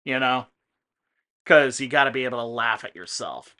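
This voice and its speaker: A man giving casual, digressive commentary on a video game, explaining things in a halting way. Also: medium pitch, bright, forceful tone. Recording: faint music in the background.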